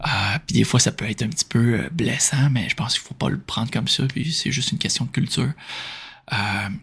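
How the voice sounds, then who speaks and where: A man from Quebec with a Québécois French accent, speaking in a soft, breathy whisper as he informally answers questions in an ASMR style. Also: mid-range voice, normal speed. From Quebec, Canada. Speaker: ASMR video creator answering viewer questions.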